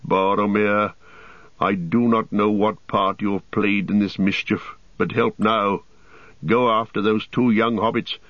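A man's voice: fairly low pitch, no echo, dull audio. A man voicing a grave, formal male ranger who leads with authority, for a storybook. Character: grave, commanding male ranger, leader of travellers.

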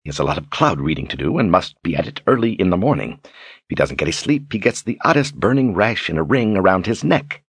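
A man's voice: deep voice, very animated, a little fast, slightly rough, no room sound, studio quality, full character voice. A man voicing a stern, irritated woman who speaks in a clipped, controlled way, as in an audiobook. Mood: growling impatience. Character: stern, curt, irritated woman traveling companion. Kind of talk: audiobook character acting.

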